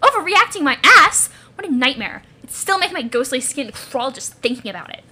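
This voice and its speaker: Woman as an indignant, sarcastic ghost, high and sharp. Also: sing-song, fairly quick, husky, heavily acted. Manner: exasperated, sarcastic, emphatic. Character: indignant, foul-mouthed, sarcastic ghost troll.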